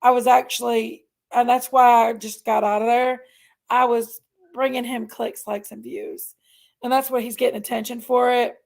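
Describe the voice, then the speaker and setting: A woman with a Southern American accent, raspy and congested from allergies, chatting casually on a livestream and reading out viewers' names. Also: a little high, level tone, moderate pace, a little mellow. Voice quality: raspy, gravelly. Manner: drawling, reads out chat names. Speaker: livestream host.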